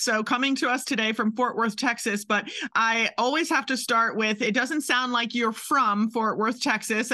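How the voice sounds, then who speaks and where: A woman's voice: fairly high pitch, bright, forceful tone. A woman from Maryland hosting a podcast interview, chatty and enthusiastic. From Maryland, USA. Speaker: podcast host.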